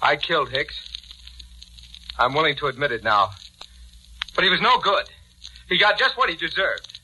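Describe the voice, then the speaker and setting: An actor playing a bitter, desperate condemned killer, intense in the manner of 1940s radio drama. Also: male voice, fairly high pitch, animated, moderate pace, pushed and bright. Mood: defiant pleading. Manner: pleading, vengeful, intense. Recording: faintly noisy 1940s radio recording.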